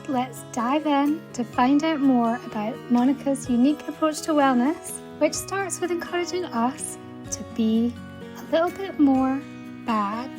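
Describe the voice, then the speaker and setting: A woman hosting a podcast, chatting enthusiastically and laughing often with her guest. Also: fairly high pitch. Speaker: podcast host. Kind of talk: warm podcast interview.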